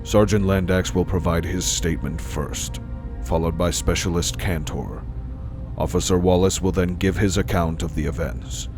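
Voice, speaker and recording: A man playing a stern starship captain in an audio drama, formal, deliberate and authoritative. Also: sits low, steady tone. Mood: stern, official. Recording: music under the voice.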